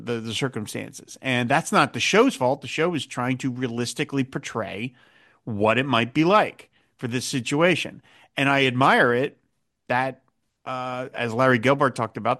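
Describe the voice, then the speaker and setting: A man's voice: mid-range voice, a bit bright. A man hosting a podcast who recaps an episode and chats thoughtfully with many hesitations. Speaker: podcast host. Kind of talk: episode recap and casual chat.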